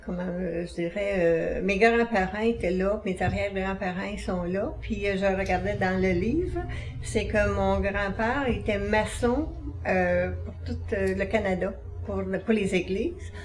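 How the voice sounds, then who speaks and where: Older Quebec woman, slow and distant. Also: medium pitch. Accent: Quebec French. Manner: hesitant, many fillers when telling a story. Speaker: interview participant.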